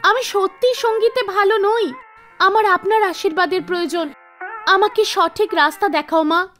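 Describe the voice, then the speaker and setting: A woman voicing an earnest, devout and kind young girl singer in an animated story, speaking emotively. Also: very high-pitched, moderate pace, soft background music, pitched above the performer's own voice. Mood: sad, pleading.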